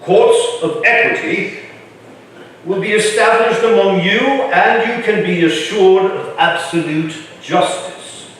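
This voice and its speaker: An actor playing an imperious, shrewd older colonial governor with a formal, wry, commanding manner in a staged reading. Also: male voice, high-pitched, slow pace, fairly bright tone. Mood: formal, assured. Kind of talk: staged reading of a historical play. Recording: echoey room from far off, faint noise.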